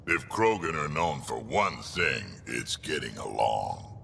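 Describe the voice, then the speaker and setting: An actor playing a huge, gruff old alien warrior in a video game, with a gravelly voice and a dry, sardonic manner. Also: male voice, very animated, takes it slowly, sharp tone, heavily acted. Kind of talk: video game cutscene acting. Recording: hall reverb, faint rumbling noise.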